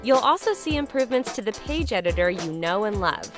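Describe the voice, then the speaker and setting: A woman's voice: medium pitch, sing-song, a little fast. A woman reading a scripted promotional voice-over about product updates. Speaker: promotional video narrator. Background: music.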